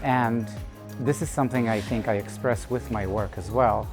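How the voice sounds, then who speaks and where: A man's voice: mid-range voice, over music. Thoughtful man. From Israel. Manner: thoughtful, measured, with pauses and restarts. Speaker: artist. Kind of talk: reflective personal statement.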